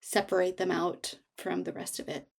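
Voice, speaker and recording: A woman speaking reflectively as an interview guest, halting and thoughtful as she searches for her words. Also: on the low side, monotone, gravelly. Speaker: author, guest.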